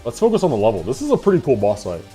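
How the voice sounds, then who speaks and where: A man in his twenties chatting casually with his live-stream chat, laughing and swearing in quick asides. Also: medium pitch, huge pitch swings, warm tone, faint music behind. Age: 20s.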